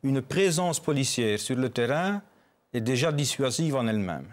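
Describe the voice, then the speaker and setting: A man's voice: mid-range voice, animated, normal speed. Man pushing his point in a tv debate, trips over words a lot. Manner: insistent, stumbling over words. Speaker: local election candidate. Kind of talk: televised political debate.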